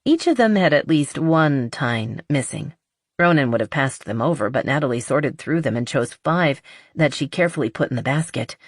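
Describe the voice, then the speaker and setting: Female audiobook narrator for romance fiction, low register, close dry sound. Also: animated, normal speed, no echo. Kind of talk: scripted narration of a romance novel.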